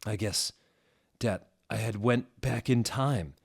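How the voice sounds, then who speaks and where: Lower-pitched man giving a theatrical reading. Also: takes it slowly, gravelly, husky. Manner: theatrical, mock-serious. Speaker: narrator. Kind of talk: comedic dramatic reading of fan fiction.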